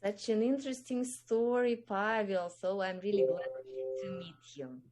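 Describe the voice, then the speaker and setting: A woman speaks as the host of an online meeting, courteous and a little hesitant. She fills her pauses with small sounds. The voice comes through a video call. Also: mid-range voice, darkish tone. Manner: polite, hesitant with fillers. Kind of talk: casual chat.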